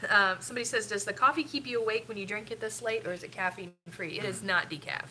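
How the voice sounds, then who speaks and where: A woman's voice: medium pitch, fast, pushed and bright, slight background noise, rough recording. A middle-aged woman with a stuffy, sniffly nose, rambling casually with lots of ums while hosting a family live stream. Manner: rambling, chatty, with frequent ums.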